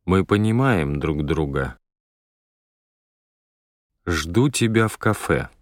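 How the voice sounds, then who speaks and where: A man reading Russian phonetic drill words for learners, one at a time with deliberate, measured pronunciation. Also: sits low, animated, no echo, clean studio sound. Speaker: language course announcer.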